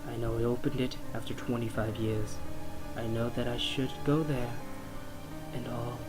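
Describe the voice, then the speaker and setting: A man narrating a horror poem in a theatrical, deliberate, dramatic manner. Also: mid-range voice, little pitch movement, poor audio. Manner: theatrical, slow and deliberate delivery of rhymed verse. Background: plucked guitar music.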